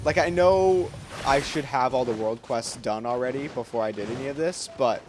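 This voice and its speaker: A man's voice: mid-range voice, moderate pace. A man chatting casually on a gaming livestream in a playful, self-deprecating way. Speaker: game livestreamer. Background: game music.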